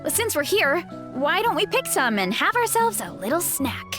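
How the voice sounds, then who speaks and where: A woman's voice: high voice, very animated, moderate pace, over music. A woman voicing a sweet, modest, self-doubting young fighter from a family orchard in a video game. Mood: cheerful. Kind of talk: video game character acting.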